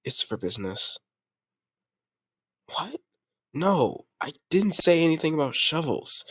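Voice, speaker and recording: A man's voice: a little high, sing-song, takes it slowly, breathy edge, no echo, full character voice. Man acting a commanding mafia boss, close dry sound. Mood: stern, threatening. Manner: commanding yet affectionate, gangster slang. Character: doting but ruthless male mafia boss. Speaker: voice actor in audio roleplay. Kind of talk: intimate scripted roleplay audio drama.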